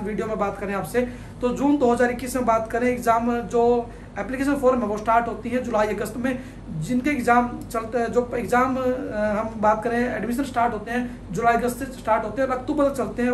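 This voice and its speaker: A man's voice: extremely high pitch, a little hiss or hum. A man in his thirties from India, giving study advice straight to camera with a clip-on mic in a small studio. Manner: fast, energetic, gestures with hands while explaining. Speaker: education counselor. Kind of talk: direct-to-camera advice video. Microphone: clip-on lapel mic. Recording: echoey room with faint ambience.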